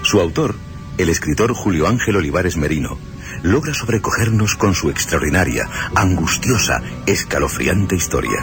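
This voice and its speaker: A male presenter speaks in a deep voice with very varied intonation. He is emphatic and theatrical, as in a scripted suspense radio show. Music runs clearly underneath. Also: big pitch swings, moderate pace.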